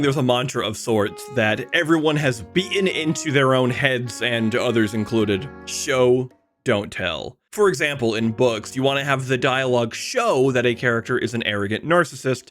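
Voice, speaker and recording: A man's voice: mid-range voice, fairly bright tone. A man delivering scripted video essay narration in a conversational way, with wry asides.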